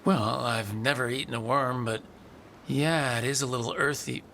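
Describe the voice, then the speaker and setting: An actor playing a confident young hero in a video game, with a casual, wry and reassuring manner. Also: male voice, mid-range voice, steady tone, moderate pace, vocal fry, heavy background noise, natural voice. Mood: wry, relaxed.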